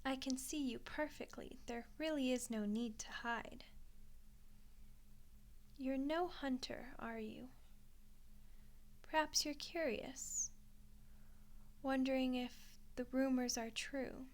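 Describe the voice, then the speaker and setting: A woman's voice: sits high, slow, long pauses. A woman voicing a gracious, teasing centuries-old vampire queen in an ASMR roleplay, with courtly, coaxing phrasing. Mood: amused, inviting. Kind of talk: ASMR roleplay monologue addressed to the listener.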